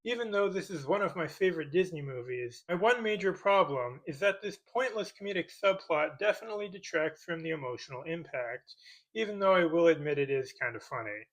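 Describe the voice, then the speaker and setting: A man's voice: fairly high pitch, expressive, brisk, poor audio. A man delivering a scripted comedic rebuttal in a sarcastic, mock-serious way, as a YouTube commentator. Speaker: YouTube commentator parodying movie critique videos.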